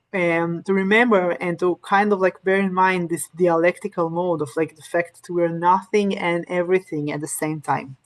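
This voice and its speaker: A woman, in Hebrew and Russian, giving an informal online lesson, hesitant with many fillers and restarts. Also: lowish voice. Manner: reads Hebrew passages quickly.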